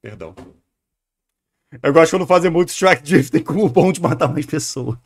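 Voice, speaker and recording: A man's voice: on the high side, lively intonation, normal speed. A man with a Brazilian Portuguese accent giving casual live-stream commentary, hesitating and repeating words as he thinks aloud. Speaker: streamer reacting to a video.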